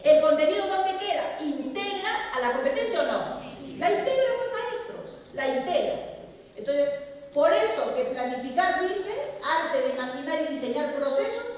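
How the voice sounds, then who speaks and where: High-voiced middle-aged peruvian woman giving a talk to teachers, tosses questions at them and answers herself, big echoey hall, sounds far off. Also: expressive, normal speed. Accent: Peruvian Spanish. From Peru. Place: large lecture hall.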